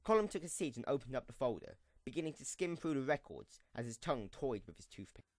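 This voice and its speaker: A man's voice: medium pitch, big pitch swings, quick pace, no echo, studio quality. A man reading scripted story narration as the narrator of an audio drama.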